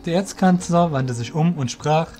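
A man narrating a scripted audio drama as storyteller, in a measured, literary reading manner. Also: a little high, a little mellow, faint music behind.

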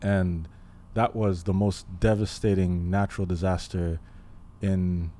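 A man in his twenties from Haiti, telling his story as a guest, thoughtful and pausing often with fillers. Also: low voice, flat delivery, warm tone. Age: 20s. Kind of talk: casual interview. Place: living room podcast set. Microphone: studio mic on boom arm, headphones.

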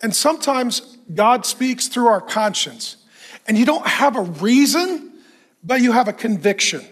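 A middle-aged man preaching a sermon on a church stage, animated and conversational with self-deprecating humor, somewhat hoarse and clearing his throat. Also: extremely high pitch, measured pace. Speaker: pastor.

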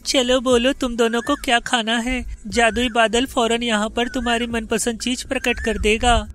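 A man's voice: extremely high pitch, little pitch movement, moderate pace, fairly bright tone, soft background music, voice pushed up. A man voicing a scheming, bossy village mother in a cartoon story. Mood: boastful.

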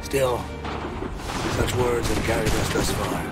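An actor playing a gruff, weary, hot-tempered colonial army general in a video game, speaking bluntly in old-fashioned turns of phrase with a gravelly voice. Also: male voice, on the low side, fairly even intonation, slow, over loud music. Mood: wry, grave. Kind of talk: dramatic video game cutscene acting.

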